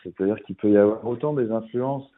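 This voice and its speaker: A man's voice: lowish voice, a little fast. Hesitant man on a tinny line. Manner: hesitant, many fillers and restarts. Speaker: musician guest. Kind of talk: radio interview, casual. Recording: tinny phone-like radio sound.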